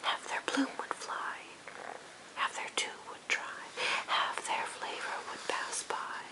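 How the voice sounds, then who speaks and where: Lady doing coaxing goblin fruit sellers, whispered and breathy, asmr poem style, tempting. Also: takes it slowly, close to an everyday voice.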